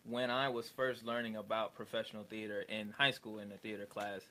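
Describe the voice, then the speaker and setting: A man in his twenties from the American Midwest, giving measured, thoughtful answers as a guest in a casual interview. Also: fairly low pitch, little pitch movement, pushed and bright, a little hiss or hum. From United States, Midwest. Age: 20s. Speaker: actor, guest.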